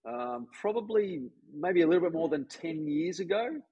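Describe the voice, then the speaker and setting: A middle-aged man with an Australian accent talks in an easygoing, meandering way, full of fillers and restarts. His voice has a slightly husky edge. The sound is dry and close, with no room echo. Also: on the high side, normal speed, highs cut off. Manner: relaxed, rambling with many fillers. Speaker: golf coach, guest. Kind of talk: casual interview chat. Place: home, residence in Jakarta.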